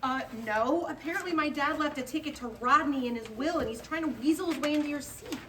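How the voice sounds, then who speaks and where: A woman playing an exasperated, sharp-tongued young woman in a live comedy table read. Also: high voice, fast talker. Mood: annoyed. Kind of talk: live comedy script reading. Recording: distant mic, noisy live room.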